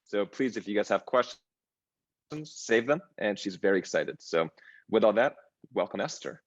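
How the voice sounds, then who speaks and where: A man moderating a fireside chat, introducing the guest in a friendly, measured way. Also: on the low side, lively intonation, highs cut off.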